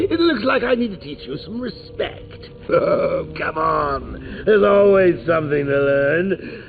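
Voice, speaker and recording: A sneering clown villain with a gravelly, cackling voice. His pitch bounces wildly as he talks, unhurried. It sounds thin and phone-like, with music playing behind. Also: very animated, full character voice. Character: cruel, joking grown man clown criminal. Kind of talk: video game voice acting.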